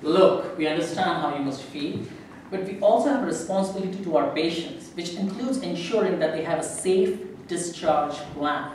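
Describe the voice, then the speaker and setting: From a distance in a very echoey room, a man plays a cautious, procedural social worker. The delivery is measured and formal, as read in a stage play. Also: on the high side, darkish tone, lightly acted. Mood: firm, questioning. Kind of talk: amateur staged reading of a play. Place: live staged reading in a reverberant room. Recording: very echoey room, distant mic, faint noise.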